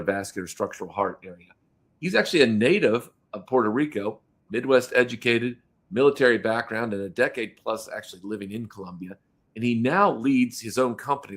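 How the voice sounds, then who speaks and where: A man hosting a business podcast, introducing and questioning a guest in a halting way, with many fillers and restarts. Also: on the low side, a bit bright. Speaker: podcast host. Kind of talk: casual interview chat.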